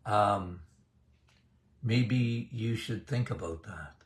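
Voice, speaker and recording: A man voicing a timid, hesitant doctor who mumbles his warnings quietly and trails off. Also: lowish voice, very slow, voice pushed down. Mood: meek.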